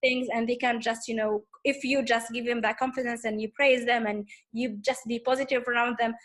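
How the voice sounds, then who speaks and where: Woman, from Tunisia, Arabic native speaker, fairly high pitch, hesitant, many restarts. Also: level tone, brisk, a bit bright. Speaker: teacher. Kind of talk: casual conversation.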